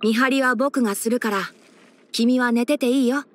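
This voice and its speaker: A woman voicing a boyish young male fox-eared forest ranger and scholar in an anime game, instructive and frank with a gently teasing manner. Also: fairly high pitch, normal speed, lightly acted. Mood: calm, frank. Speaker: character voice actor. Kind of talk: anime game character voice lines.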